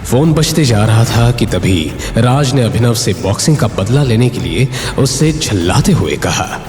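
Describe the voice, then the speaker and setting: A man's voice: medium pitch, lively intonation, measured pace, a little mellow. A man narrating a scripted drama story with suspenseful, emphatic delivery. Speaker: narrator of an audio drama series. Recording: roomy long reverb, music bed.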